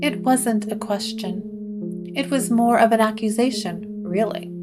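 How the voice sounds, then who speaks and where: A woman narrating a scripted gothic horror story in a measured, dramatic storytelling manner. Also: on the high side, music in the background.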